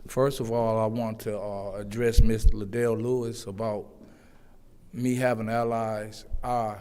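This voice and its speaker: Loud earnest man at a council podium. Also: lowish voice, level tone, measured pace, clean tone. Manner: loud, earnest, pleading delivery. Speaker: public commenter. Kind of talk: public comment at a council meeting. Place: echoey city council chambers. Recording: long hall reverb.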